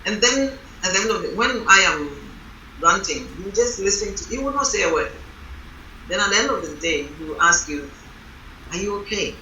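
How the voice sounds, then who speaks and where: A woman reverend leading a panel on marriage, talking in a loud, strong voice and telling stories with emphasis. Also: mid-range voice, big pitch swings, normal speed, a little hiss or hum. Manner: emphatic, storytelling. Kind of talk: panel discussion. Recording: roomy hall from a distant mic.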